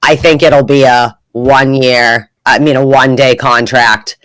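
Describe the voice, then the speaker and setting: A man's voice: medium pitch, steady tone, unhurried, fairly bright tone, no echo, low-quality recording. A man co-hosting a casual hockey podcast, chatty and rambling, full of "like". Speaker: podcast co-host. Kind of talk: casual chat.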